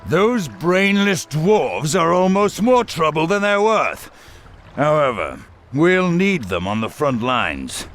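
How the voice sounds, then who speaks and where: A pompous, hot-tempered human lord from a fantasy game. His voice sits slightly high and is highly animated, full of sneering, barking authority. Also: slow, faint music behind, exaggerated voice. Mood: exasperated, angry. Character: arrogant, short-tempered human army lord.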